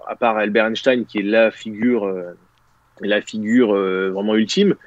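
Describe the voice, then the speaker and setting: A man's voice: on the low side, steady tone, unhurried, dry recording, lo-fi sound. A man in his thirties talking as a guest on a video call from a small room, rambling with many fillers and restarts. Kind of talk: casual video-call discussion. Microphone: video call, webcam.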